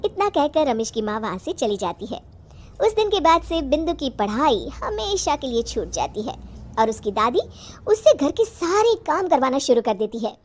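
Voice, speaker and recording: A woman's voice: high-pitched, big pitch swings, normal speed, a little mellow, soft background music. A woman reading scripted narration for a children's moral story.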